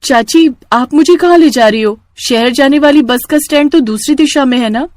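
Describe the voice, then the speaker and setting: A woman's voice: sits high, normal speed, a little mellow, no echo, studio quality, voice pushed up, lightly acted. A woman voicing a sweet, trusting young village daughter with an earnest, girlish manner in a cartoon. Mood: anxious. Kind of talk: cartoon character acting.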